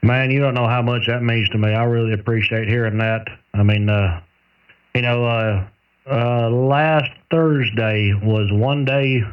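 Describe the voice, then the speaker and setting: A man with a Southern US accent chatting casually over two-way radio, in a folksy, unhurried storytelling way. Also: mid-range voice, fairly even intonation, clean tone. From southern United States. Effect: two-way radio transmission. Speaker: amateur radio operator, radio technician. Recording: narrow tinny single-sideband CB radio, distant.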